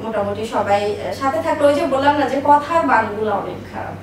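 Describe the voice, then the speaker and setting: A woman's voice: a little high, lively intonation, fast. A woman in her thirties telling personal stories as an interview guest, speaking emphatically and repeating herself for stress. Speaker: interview guest, business owner. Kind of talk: personal life storytelling in an interview. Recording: echoey room, distant mic, faint noise.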